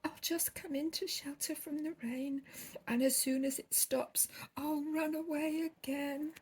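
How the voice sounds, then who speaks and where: A woman voicing a posh, snobbish male cat from a storybook, indignant and stubborn, with melodramatic drawn-out words. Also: high-pitched, fairly even intonation, a little mellow, pitched above the performer's own voice. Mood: grudging. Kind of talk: storybook character voice.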